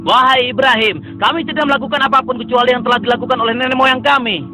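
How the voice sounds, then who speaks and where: A man voices a stubborn idol-worshipping townsman in a cartoon, high-pitched and fast-talking. He is scornful and threatening, with music under his lines. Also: fairly even intonation, bright, forceful tone, exaggerated voice. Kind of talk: dubbed cartoon acting.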